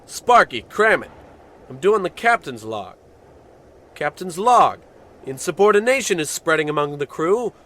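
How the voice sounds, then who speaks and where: Pompous, higher-voiced spaceship captain. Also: male voice, sing-song, a little slow, sharp tone, exaggerated voice. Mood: bossy, indignant. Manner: pompous, commanding, self-important. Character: pompous, dim, blustering male spaceship captain. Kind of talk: old-time radio comedy acting.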